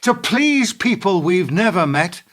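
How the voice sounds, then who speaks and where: A man's voice: high voice, big pitch swings, a little slow, a bit bright, heavily acted. A man playing a principled, disillusioned older business partner in a radio play, earnest and accusing. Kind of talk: radio play acting, dramatic dialogue.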